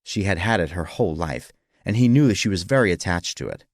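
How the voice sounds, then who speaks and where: A man's voice: low-pitched, animated, brisk, no echo. A man reading scripted historical fiction as a dramatic audiobook narrator.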